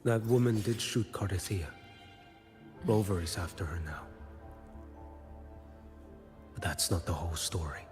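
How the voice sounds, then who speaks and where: An actor playing a blind, watchful bodyguard in a video game, speaking in a measured, cryptic and formal way. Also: male voice, sits low, darkish tone, lightly acted. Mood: cool, matter-of-fact. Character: blind, solemn watchful male bodyguard and investigator. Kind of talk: scripted video game dialogue. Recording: long reverb, music underneath. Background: game music.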